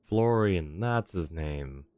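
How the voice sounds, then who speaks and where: A woman streams a game and chats casually as she reacts. Her voice is very low for a woman, and her intonation swings widely. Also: lively intonation, slow pace, noisy background. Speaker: livestreamer.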